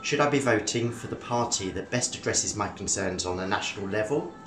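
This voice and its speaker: A man's voice: on the low side, fairly quick, reverberant, background noise. A man in his fifties, a small business owner, speaking plainly and directly about his concerns. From England. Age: 50s. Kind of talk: recorded voter clip.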